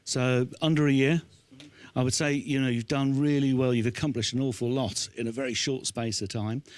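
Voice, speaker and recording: A man's voice: mid-range voice, steady tone, normal speed, darkish tone, no echo. A man in his fifties hosting a casual on-stage interview in a chatty, encouraging way, speaking into a handheld microphone. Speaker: host interviewing a young band.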